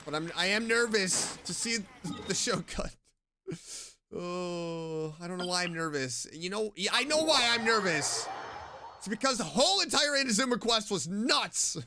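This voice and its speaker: A streamer giving casual commentary while playing a game, excitable and joking, chatting back at the characters. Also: medium pitch, normal speed, background noise. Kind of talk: casual let's-play commentary. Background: game music.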